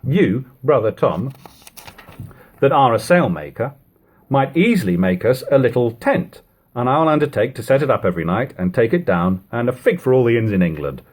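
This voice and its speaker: A man reads a defiant old-time soldier turned baker in a storybook novel. He argues his practical case emphatically, with a husky voice that leaps up and down in pitch. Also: big pitch swings, moderate pace, a little mellow. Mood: practical, confident. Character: defiant, practical ex-soldier biscuit baker, plain-spoken Londoner.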